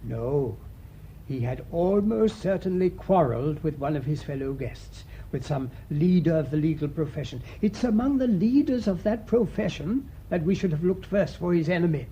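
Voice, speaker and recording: A British man acting a thoughtful, shrewd priest sleuth in a radio mystery, speaking in a measured, deliberate way. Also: lively intonation, warm tone. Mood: shrewd, assured. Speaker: actor in the lead detective role. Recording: muffled radio recording with faint rumbling noise.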